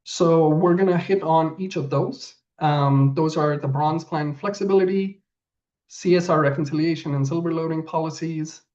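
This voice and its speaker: A person with a sore throat presenting pricing points in a webinar over a video call, speaking in halting, list-like phrases. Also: mid-range voice, darkish tone, highs cut off.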